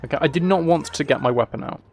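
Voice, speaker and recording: Creaky-voiced man commentating on a game. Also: fairly high pitch, fast. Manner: dry, flippant, rambling asides. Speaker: streamer playing a video game. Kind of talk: casual gameplay commentary.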